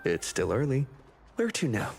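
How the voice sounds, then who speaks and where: A man voicing a calm, earnest young protagonist in a video game, speaking in a measured, gentle way. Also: medium pitch, gravelly, faint music behind. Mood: pondering.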